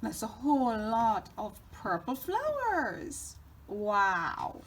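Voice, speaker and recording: A woman's voice: on the high side, huge pitch swings, takes it slowly, slightly rough. A woman chatting casually and playfully with a child during storytime, prompting and encouraging. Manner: encouraging, playful, reacting with interest.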